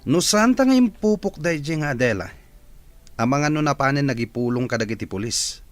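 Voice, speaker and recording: An actor playing a callous, gloating villain's accomplice in an Ilocano radio drama. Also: male voice, medium pitch, animated, a little fast, no echo, faint music behind, natural voice.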